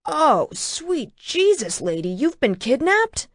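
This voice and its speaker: A woman's voice: sits high, sing-song, slow, no room sound, voice pushed up, full character voice. Woman voicing a middle-aged truck driver man, drawling, folksy, kind, dry mic. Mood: shocked.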